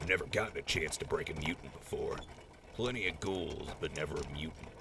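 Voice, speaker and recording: A man acting as a gruff, brutal slave-breaking raider in an audio drama, blunt, coarse and coldly threatening. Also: a bass voice, fairly quick, noisy background. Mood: cold menace.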